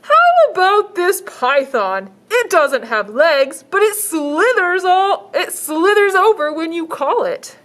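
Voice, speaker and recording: A woman voicing an eager male animal shelter worker who pitches odd pets slowly and showily. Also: very animated, a bit bright, full character voice. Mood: showy pleading. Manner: slow, pleading, showy salesman pitch.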